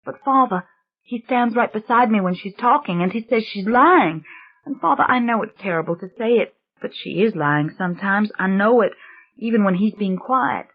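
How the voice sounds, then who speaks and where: A woman's voice: mid-range voice, lively intonation, voice pushed up, natural voice. A woman voicing a frightened young girl who pleads in an anguished, tearful rush. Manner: anguished rushing pleading with sobs. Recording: dull lo-fi recording.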